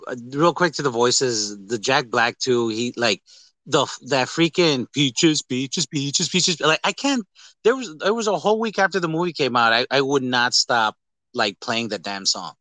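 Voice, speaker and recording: A man's voice: medium pitch, expressive, unhurried, sharp tone, dry recording. A man chatting casually as a podcast host, rambling, repeating phrases and trailing off. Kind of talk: casual chat.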